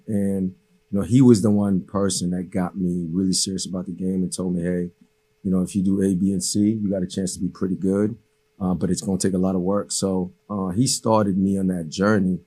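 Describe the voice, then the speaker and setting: A man born in Haiti and raised around Boston talks as a podcast guest in a casual, reflective way. His voice is low and husky, with a mellow tone. He sounds like a coach looking back in a studio conversation. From born in Haiti, raised in the Boston area from age eight. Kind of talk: casual reflective interview talk.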